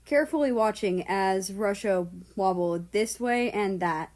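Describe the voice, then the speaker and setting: A woman's voice: mid-range voice, measured pace, a little mellow. Woman reading a story aloud. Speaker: fan fiction narrator. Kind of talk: scripted storybook narration with character dialogue.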